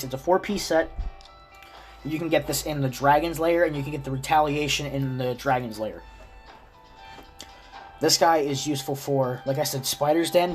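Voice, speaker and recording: A man with a slightly raspy, stuffy voice from a cold, casually explaining a game character's build step by step. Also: a little high. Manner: explains step by step, relaxed and chatty. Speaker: gaming video creator. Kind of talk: casual game guide commentary.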